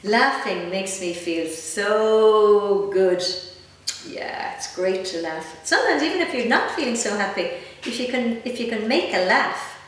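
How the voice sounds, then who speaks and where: A woman in her fifties with an Irish accent reads a picture book aloud to children, expressively, with chatty asides and questions. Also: fairly high pitch. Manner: animated, gently expressive, addressing young listeners with questions. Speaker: guest storyteller. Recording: echoey room, distant mic, faint noise.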